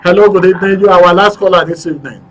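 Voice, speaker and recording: A man hosting a live radio phone-in with brisk short prompts. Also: high voice, a bit bright, far from the mic, poor audio. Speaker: radio show host.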